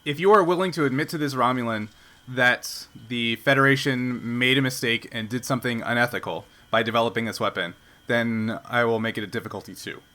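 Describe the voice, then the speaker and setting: A man acting as game master, casually narrating a tabletop roleplaying game in a halting, conversational way. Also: mid-range voice, bright, forceful tone.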